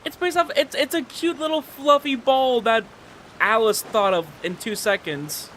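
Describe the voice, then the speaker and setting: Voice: mid-range voice, moderate pace, a bit bright, a little hiss or hum. A streamer giving casual, rambling and emphatic commentary while gaming. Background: game music.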